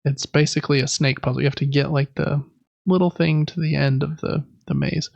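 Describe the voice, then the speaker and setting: A man's voice: fairly high pitch, fairly even intonation, a little fast, creaky, darkish tone, dry recording, lo-fi sound. A man co-hosting a podcast, chatting casually about video games in a rambling, enthusiastic, informal way. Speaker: podcast co-host.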